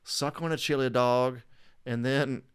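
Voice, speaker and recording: A man with a clear, smooth voice at an ordinary pitch chats casually as a podcast co-host. His way of talking is blunt and slangy, with loose, profane language. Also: fairly even intonation, measured pace. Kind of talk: casual chat.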